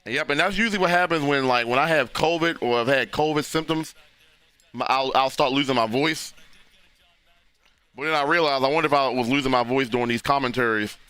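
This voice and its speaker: Hoarse man reacting live to football. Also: medium pitch, fairly quick, bright, forceful tone, no room sound. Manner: loose, talky, frequent restarts. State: losing his voice. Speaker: livestream host reacting to a football game. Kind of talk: casual live game reaction.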